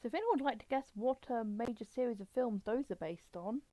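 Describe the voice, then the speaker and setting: A woman's voice: on the high side, expressive, fairly quick, warm tone, no echo, poor audio. A woman hosting a live stream with casual, rambling, explanatory commentary.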